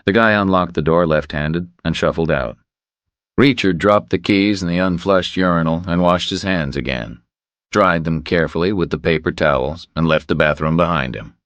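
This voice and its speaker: Very deep-voiced male thriller narrator. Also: normal speed, a bit bright, no echo. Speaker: audiobook narrator.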